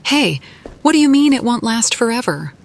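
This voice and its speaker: A woman voicing an earnest, cautious female mage adventurer in a fantasy game, speaking in a measured, firm, formal way. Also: on the high side, big pitch swings, vocal fry. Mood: measured, wary.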